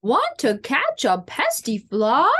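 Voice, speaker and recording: A woman voicing a bold farmyard rooster from a storybook, with loud, drawn-out crowing. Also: high voice, huge pitch swings, slightly rough, heavily acted.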